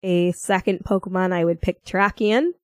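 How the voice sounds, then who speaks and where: A woman's voice: lowish voice, normal speed, darkish tone, no echo. Young American woman, podcast co-host, playful and rambling, giggly, dry close sound. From Minnesota, United States. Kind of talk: casual chat.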